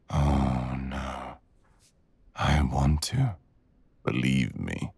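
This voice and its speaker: A man playing a cruel, seductive dragon villain in intimate ASMR roleplay, with a gravelly, husky growl and a slow, teasing drawl. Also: rumbling low, dry recording, heavily acted. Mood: teasing, restrained.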